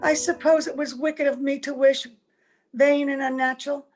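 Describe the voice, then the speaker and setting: A woman acts an anguished young-seeming wife and mother in an old-time radio play. Her voice is high, earnest and pleading, with dramatic delivery, and music plays behind her. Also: level tone, normal speed, lightly acted. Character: anguished wife and mother who can never grow older. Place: at home, recorded over a video call.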